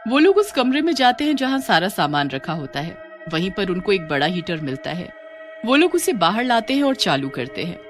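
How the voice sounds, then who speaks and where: A narrator tells a scripted horror cartoon story with very animated intonation. The voice sits at a middle pitch over soft background music. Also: normal speed.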